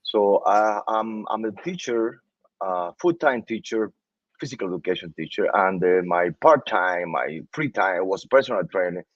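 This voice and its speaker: Earnest Cuban-accented man, halting speech. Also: low-pitched, lively intonation, no echo. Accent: Cuban Spanish. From Cuba. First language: Spanish. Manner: halting, repeats words, earnest. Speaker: interview guest. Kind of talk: casual interview talk. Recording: dull, dry sound.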